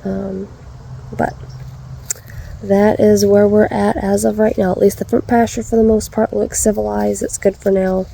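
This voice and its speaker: A woman's voice: medium pitch, little pitch movement, normal speed, creaky, warm tone, slight background noise, rough recording. A woman giving a casual vlog update, stuffy and congested, chatty with lots of ums and restarts. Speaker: vlogger.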